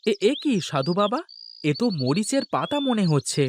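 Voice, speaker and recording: Man acting anxious village boatman, swooping pitch. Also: very animated, moderate pace, a little hiss or hum. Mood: eager, amazed. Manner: pleading, exclaiming, deferential. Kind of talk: Bengali fairy-tale cartoon dubbing.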